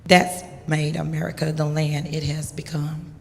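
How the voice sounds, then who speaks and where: A woman giving a dramatic poetry reading with emphatic, deliberate phrasing. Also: low voice, level tone, vocal fry, a little mellow. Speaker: poetry reader. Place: large church hall. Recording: echoey hall.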